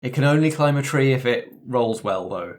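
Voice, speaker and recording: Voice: mid-range voice, lively intonation, normal speed. A player chatting casually during a tabletop game.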